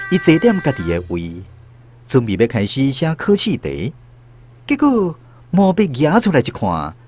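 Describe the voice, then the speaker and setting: A man with a Taiwanese accent narrating a scripted radio drama as an expressive storyteller. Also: mid-range voice.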